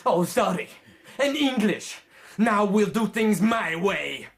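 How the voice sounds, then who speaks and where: A man's voice: high voice, animated, slow, creaky, fairly bright tone, soft background music, full character voice. An actor playing an enraged, vengeful Italian man with an Italian accent in a radio drama. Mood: menacing.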